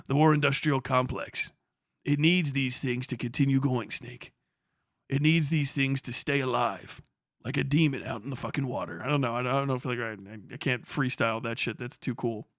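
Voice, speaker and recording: Bright, forceful man ranting on a livestream. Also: mid-range voice, normal speed, no echo, clean studio sound. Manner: profane, sarcastic, acts out mock dialogues. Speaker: livestream host. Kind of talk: casual livestream political rant, reading chat.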